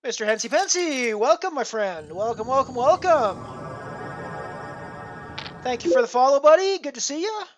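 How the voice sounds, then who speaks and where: A man's voice: extremely high pitch, lively intonation, moderate pace, pauses often, faint music behind. Chatty Canadian man in his fifties. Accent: Canadian (Albertan). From Alberta, Canada. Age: 50s. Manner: chatty, greets viewers warmly by name. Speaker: poker streamer. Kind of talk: casual live-stream commentary. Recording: dull lo-fi stream audio with faint noise.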